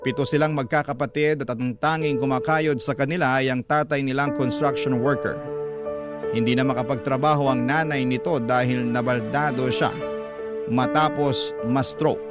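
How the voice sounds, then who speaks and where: A man's voice: medium pitch, lightly acted. Man acting an earnest young Filipino storyteller. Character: young man recounting his love story to a radio host. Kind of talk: scripted first-person radio narration. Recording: loud music bed under voice.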